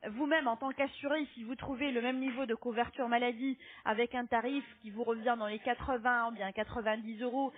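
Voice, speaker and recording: A woman making a phone sales pitch as an appointment-booking agent, polite but insistent, often ending on a checking question. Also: fairly even intonation, a little fast, few pauses. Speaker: telemarketing agent booking health insurance appointments. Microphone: telephone. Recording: lo-fi muffled phone call.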